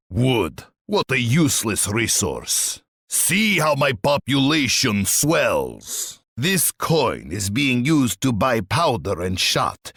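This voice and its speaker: Casting: bloodthirsty warlord tsar for a strategy game; husky, pompous and sneering, with wildly swinging pitch. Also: male voice, fairly bright tone, no echo, clean studio sound, heavily acted. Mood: scornful. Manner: pompous, menacing, declamatory.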